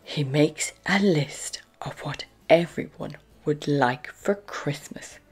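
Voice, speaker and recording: A woman storyteller narrating a children's book aloud in an expressive, deliberate manner. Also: deep voice, husky, whispered, faint music behind. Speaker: storyteller, channel host.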